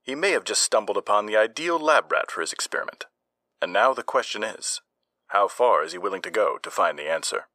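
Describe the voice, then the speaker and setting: A man acting an earnest American newscaster and host in old-time radio style, crisp and theatrical. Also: fairly low pitch, animated, brisk, sharp tone, no echo, studio quality. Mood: suspenseful. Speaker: newscaster and story narrator. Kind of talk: old-time radio news and suspense narration.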